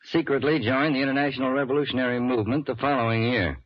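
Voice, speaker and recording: An actor playing a decisive counterspy chief in an old radio drama, clipped and businesslike. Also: male voice, medium pitch, quick pace, lightly acted. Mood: brisk, businesslike. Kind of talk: 1950s radio drama acting. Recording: muffled, hissy old radio recording.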